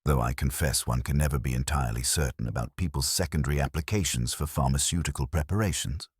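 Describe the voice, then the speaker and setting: A man voicing a careful village chemist who talks in a measured, formal, faintly gossipy way. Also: very deep voice, breathy edge, no room sound, studio quality. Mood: discreet, considered. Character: careful, discreet male village chemist with old-fashioned courtesy.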